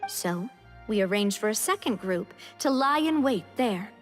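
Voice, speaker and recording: A woman's voice: mid-range voice, expressive, unhurried, natural voice. An actress playing a confident, frank young woman leader in a video game, explaining in an orderly, assured way. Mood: assured, explanatory. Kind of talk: video game character acting. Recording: music behind the voice.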